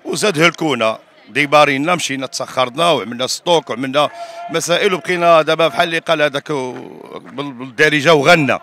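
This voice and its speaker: Bright, fast-talking man. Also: on the high side, a little hiss or hum. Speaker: interviewee, event caterer. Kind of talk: street interview appeal. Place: open square outdoors, crowd behind. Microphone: handheld reporter microphone.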